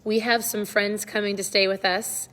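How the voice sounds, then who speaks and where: A middle-aged woman with a slightly hoarse voice, chatting casually and encouragingly to viewers as the host of a livestream. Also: medium pitch, little pitch movement, normal speed, a bit bright, long reverb tail. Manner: upbeat, encouraging, conversational. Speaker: online coach hosting a live check-in.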